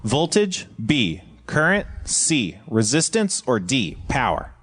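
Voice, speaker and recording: A man's voice: medium pitch, huge pitch swings, slow, fairly bright tone, husky. A man chatting casually and acting as the quiz reader on a comedy show. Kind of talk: casual banter.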